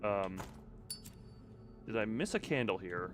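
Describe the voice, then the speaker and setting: A man's voice: on the low side, expressive, takes it slowly, over music. A man giving casual live gameplay commentary, rambling, self-deprecating and thinking aloud. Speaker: video game streamer. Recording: faint background noise and game ambience.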